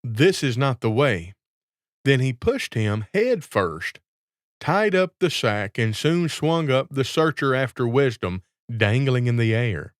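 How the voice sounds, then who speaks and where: A male storyteller narrates a classic fairy tale from a script. His voice sits at a medium pitch. The recording is dry and close, with very little room sound. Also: sing-song, a little slow, clear, resonant tone, no echo.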